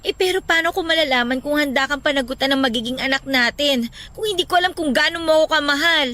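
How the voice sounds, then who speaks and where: A woman's voice: sits high, fast, sharp tone. A woman playing an irritable, anxious young pregnant girlfriend in a Filipino radio drama, emotional and accusing. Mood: irritated, anxious.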